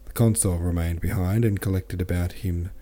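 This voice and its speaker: A man's voice: low voice, fairly even intonation, normal speed, mellow. A man hosting a book podcast, thinking aloud about a chapter, reading out listener comments and then reading the novel aloud. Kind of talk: casual book-club talk and reading aloud.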